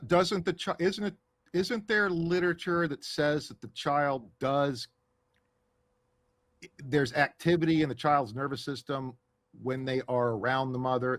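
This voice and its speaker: A man's voice: mid-range voice, a bit bright, no echo. Questioning male podcast co-host. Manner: probing questions, restarts. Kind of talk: podcast discussion.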